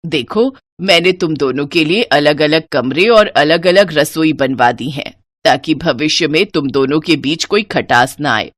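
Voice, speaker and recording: A woman's voice: deep voice, lively intonation, unhurried, no room sound, voice pushed down. A woman voicing a kindly, hearty older mother-in-law in a moral story, repeating words as she speaks. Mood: welcoming. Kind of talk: Hindi moral story character acting.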